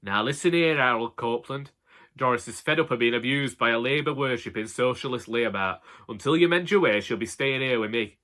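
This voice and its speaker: A man's voice: medium pitch, brisk, pushed and bright, close to an everyday voice. A man voicing a snooty, disapproving elderly woman with a clipped, cutting manner in a comic audiobook. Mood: indignant scolding. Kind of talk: character dialogue in comic audiobook.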